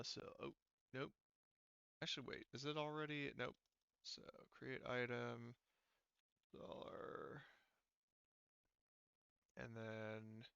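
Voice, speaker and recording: A man with a clear, forward voice and some gravel talks informally as a game master. He thinks aloud and swears casually while working through settings. The recording is dry and slightly dull, like band-limited voice chat. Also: medium pitch, sharp tone, dry recording. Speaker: game master of an online tabletop roleplaying game. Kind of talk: casual group chat while troubleshooting software.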